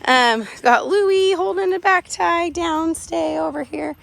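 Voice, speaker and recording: A young woman dog trainer, hoarse from losing her voice, explains a lesson in a chatty way and gives firm short commands to a dog, recorded on a phone in an outdoor park. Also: very high-pitched, slow, a bit bright. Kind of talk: casual on-the-spot training explanation. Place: outdoor park with other dogs. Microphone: handheld phone camera.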